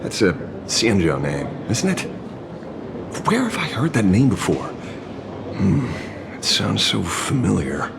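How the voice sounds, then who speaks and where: A man voicing a musing, knowing investigator in a video game, with an expressive delivery. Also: lowish voice, measured pace, vocal fry, noisy background, exaggerated voice. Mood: pondering.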